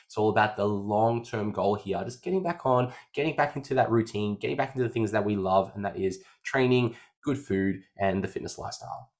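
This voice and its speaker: A man's voice: on the low side, a little fast. A man, a fitness coach hosting a casual podcast, slightly nasal after an illness and chatty, often repeating phrases.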